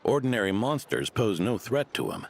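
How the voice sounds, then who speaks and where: An actor voicing an elderly, kindly knight in a video game, with a warm, measured delivery over game music. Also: male voice, fairly low pitch, wildly swooping pitch, creaky, slight background noise, heavily acted. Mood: calm. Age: older.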